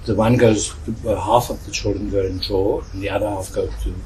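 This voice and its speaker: A man with a South African accent speaking as a children's storyteller in an interview, earnest and halting, repeating words for emphasis. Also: on the low side, fairly even intonation, a little mellow. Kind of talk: reflective interview with animated storytelling. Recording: noisy, distant microphone.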